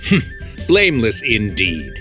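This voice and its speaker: A man's voice: on the low side, huge pitch swings, unhurried, pushed and bright, faint music behind, exaggerated voice. A man voicing a pompous, theatrical immortal beast in a video game, speaking in archaic, plaintive phrases. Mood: lamenting.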